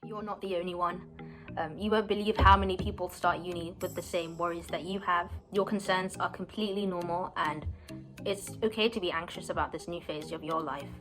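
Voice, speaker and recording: A woman's voice: fairly low pitch, steady tone, brisk, over music. A young woman, earnestly telling her personal story as a student, with some hesitant fillers. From London, England. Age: 20s. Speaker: university student. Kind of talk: personal story, read to camera.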